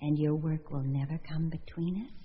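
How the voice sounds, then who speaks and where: A woman's voice: low-pitched, steady tone, normal speed, breathy edge. An actress in a radio drama playing a wry, romantic single woman who muses and questions herself theatrically. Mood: tender. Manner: theatrical, musing, self-questioning. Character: Laura, wry romantic single woman weighing her suitors. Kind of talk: radio drama acting, monologue and dialogue. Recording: muffled audio with music.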